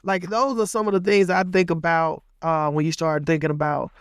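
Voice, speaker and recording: Young male co-host from Mississippi, gravelly, slightly high, rambling storyteller, dry close mic. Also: level tone, moderate pace, dry recording. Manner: rambling, filler-heavy storytelling. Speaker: podcast co-host. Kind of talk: casual podcast chat.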